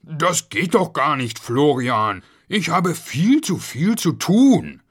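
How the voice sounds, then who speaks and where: A man's voice: fairly high pitch, very animated, slow pace, husky, voice pushed up, exaggerated voice. A man voicing a harried, strict working father who is easily exasperated in a children's story.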